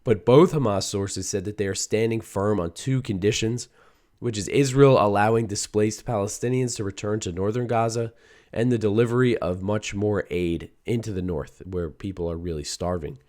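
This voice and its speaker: A man hosting a news show, talking through the day's stories in a conversational way with a hoarse, worn-out voice. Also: on the low side, normal speed, a little mellow. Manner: conversational, reads quotes aloud, some restarts. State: hoarse, voice a little shot. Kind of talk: solo news commentary.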